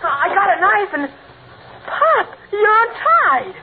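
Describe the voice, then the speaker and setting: A woman's voice: very high voice, very animated, slow, heavily acted. A woman voicing an eager, jumpy thirteen-year-old American boy in an old radio comedy. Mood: nervous, urgent. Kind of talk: 1940s-50s radio comedy acting. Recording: noisy, tinny vintage radio recording.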